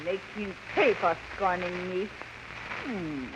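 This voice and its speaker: An actress playing a haughty, cruel young princess in a 1930s radio serial. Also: female voice, on the low side. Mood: vengeful relish. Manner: haughty, deliberate. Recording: muffled, noisy old radio recording.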